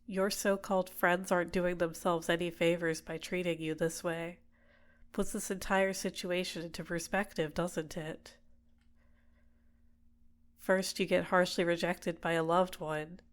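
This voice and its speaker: A woman performs a manipulative villainess for an ASMR roleplay. Her voice sits on the low side, soft and deliberate, soothing her captive with possessive tenderness and gentle coaxing. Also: little pitch movement, close to an everyday voice. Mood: sympathetic, insinuating. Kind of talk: ASMR roleplay, intimate personal attention.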